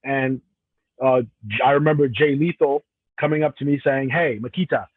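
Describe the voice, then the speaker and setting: A man's voice: mid-range voice, steady tone, normal speed, husky, no echo, phone-quality sound. A professional wrestler from Cameroon, a man answering interview questions in a casual, rambling way with frequent restarts. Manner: rambling, many restarts and self-corrections.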